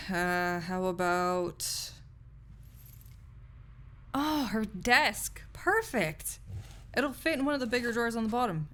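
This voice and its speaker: A woman's voice: mid-range voice, a little hiss or hum, close to an everyday voice. A woman playing a snarky, cynical young research assistant in an audio roleplay, talking in a pedantic, lecturing way with scholarly wording. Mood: musing. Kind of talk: intimate audio roleplay acting, conversational monologue to listener.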